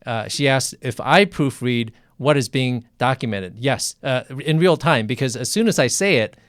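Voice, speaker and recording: A man with a smooth, clean voice at a medium pitch hosts a conversational interview. He speaks haltingly and often repeats a phrase before going on. The sound is dry, from a treated room. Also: lively intonation, no room sound. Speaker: physician interviewer hosting a livestream.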